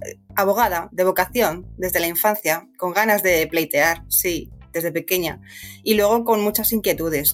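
A middle-aged woman with a Madrid Spanish accent answers as an interview guest. Her voice sits a little low and her talk is casual and conversational. Faint music plays behind her. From Madrid, Spain.

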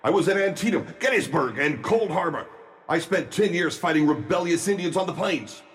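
An actor playing a blunt, blustery American army veteran in a radio play, plain-spoken and emphatic. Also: male voice, a little high, animated, fairly quick, natural voice. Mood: blustery, confident.

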